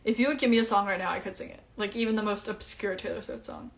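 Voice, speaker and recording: A woman's voice: mid-range voice, quick pace, creaky. Breezy young woman. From Iceland. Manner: chatty and breezy, with many fillers. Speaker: singer hosting a livestream. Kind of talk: casual livestream chat answering comments. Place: at home, indoors.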